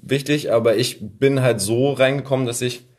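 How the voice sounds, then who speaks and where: Guy podcast host, medium pitch, chatty n slangy, jumps in a lot. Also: fairly even intonation, normal speed, darkish tone. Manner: informal, youthful slang, interjecting. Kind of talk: casual podcast chat.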